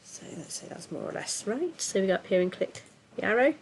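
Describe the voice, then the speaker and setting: Stuffy-nosed woman, gravelly. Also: mid-range voice, sing-song, fairly quick, slight background noise. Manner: chatty, self-deprecating, filler-heavy. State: congested from a cold. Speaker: tutorial host. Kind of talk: casual screen-recorded software tutorial.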